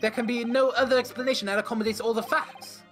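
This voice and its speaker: A woman's voice: a little high, steady tone, quick pace, natural voice. A woman voicing a grandiose, self-important male detective in a fan dub of a game, with game music behind. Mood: pompous. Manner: theatrical, self-important, long-winded.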